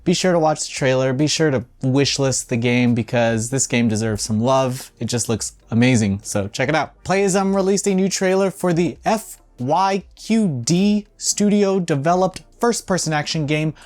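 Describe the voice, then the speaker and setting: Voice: medium pitch, moderate pace, hardly any pauses, a little mellow. A video game news host giving casual, opinionated commentary in an informal, blunt way, with some swearing.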